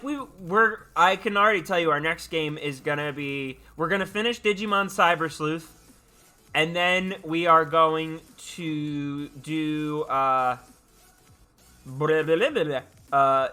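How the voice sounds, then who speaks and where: A man's voice: fairly high pitch, pushed and bright. A man chatting casually and playfully with live stream viewers, often repeating his words and phrases. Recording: music playing behind the voice. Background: background music.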